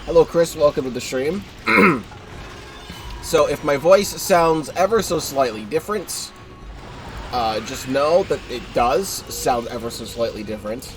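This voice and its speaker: A man's voice: a little high, lively intonation, a little slow, over music. A congested young man giving rambling, casual commentary while streaming a game live, often correcting himself and swearing offhand.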